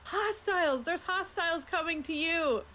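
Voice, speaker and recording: A woman's voice: very high-pitched, normal speed, bright, forceful tone, a little hiss or hum, rough recording. A woman playing a tabletop roleplaying game, chatting casually and speaking up as her character.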